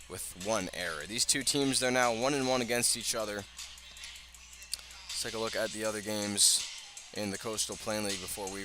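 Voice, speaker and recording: A man's voice: lowish voice, pushed and bright. A man doing live radio baseball play-by-play in short, clipped phrases. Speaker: sports play-by-play announcer.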